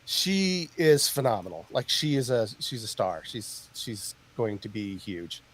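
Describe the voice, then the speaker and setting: A man's voice: lowish voice, sing-song, unhurried, a bit bright. A man co-hosting a podcast in casual chat, rambling and searching for words with lots of fillers. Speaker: podcast co-host.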